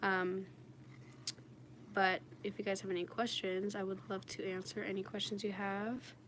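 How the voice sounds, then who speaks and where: A woman with a raspy, slightly under-the-weather voice, chatting casually and informally as she demonstrates nail work on a livestream. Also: medium pitch, level tone, moderate pace, a bit bright. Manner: informal, frequent "like". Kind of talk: casual live chat while demonstrating. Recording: clearly noisy home livestream recording. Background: washing machine noise.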